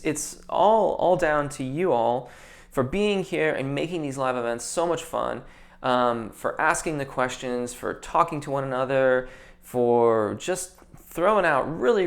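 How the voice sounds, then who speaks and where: A young man, a voice teacher, hosting a casual live-stream Q&A, reading viewer comments and answering with technical singing terms, with frequent ums and restarted sentences. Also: mid-range voice.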